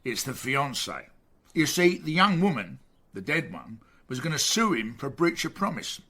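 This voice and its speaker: A man's voice: mid-range voice, very animated, normal speed, sharp tone, breathy edge, full character voice. A man playing a rude, boastful, grumbling British chief inspector in a radio drama, blunt, sarcastic and pompous. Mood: smug.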